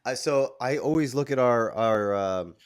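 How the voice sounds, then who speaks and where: A man hosting a casual podcast, a little hoarse and nasal from a cough, opening with upbeat emcee-style lines before easing into relaxed banter. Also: medium pitch, unhurried, dry recording, studio quality. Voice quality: a bit nasal. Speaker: podcast host.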